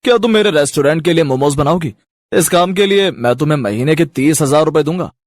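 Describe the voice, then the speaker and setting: A man voicing a businesslike restaurant manager in a suit, talking quickly and directly. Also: fairly high pitch, animated, dry recording, studio quality, natural voice.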